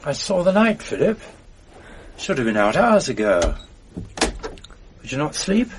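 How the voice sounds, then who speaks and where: An actor playing a gentle, weary older father soothing his frightened young son with unhurried storytelling in a radio drama. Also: fairly high pitch, big pitch swings, background noise. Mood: gentle, reassuring.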